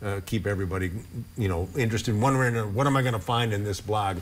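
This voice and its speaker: A man's voice: fairly low pitch, very fast. A man in his sixties, a blogger who has lived in Upper Michigan for decades, talks in a friendly, casual way as a guest in a TV studio, often repeating a word as he starts a sentence. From Upper Michigan, USA. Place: TV studio with couch set. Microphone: lapel mic.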